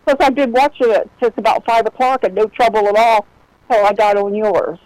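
A woman's voice: on the high side, steady tone, a little fast, a little mellow, no room sound, poor audio. A woman calling in to a radio show, talking in a meandering, chatty way with hesitations. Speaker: caller. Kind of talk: casual phone chat.

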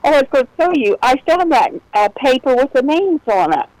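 A woman's voice: high voice, big pitch swings, a little fast, darkish tone, no echo, low-quality recording. A woman calling in to a radio show, talking in a meandering, chatty way with hesitations. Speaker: caller. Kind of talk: casual phone chat.

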